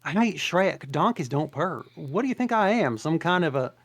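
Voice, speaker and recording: A wisecracking, loyal talking donkey, voiced by a table reader, sassy and quick to get defensive. The pitch bounces about with lively energy. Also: breathy edge. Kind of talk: amateur table read.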